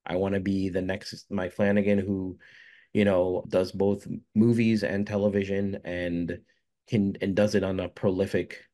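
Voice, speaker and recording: A man's voice: sits low, level tone, a little slow, dark tone. A young man from Jamaica, a filmmaker, answering interview questions casually with many fillers and restarts. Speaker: filmmaker guest.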